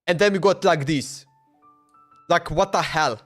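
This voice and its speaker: Streamer dude rambling about the game, says bro a lot, a bit high, faint music behind. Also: male voice, a little slow, fairly bright tone. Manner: loose and chatty, says "bro" and "man" a lot, laughs often. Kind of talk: casual livestream chat while playing.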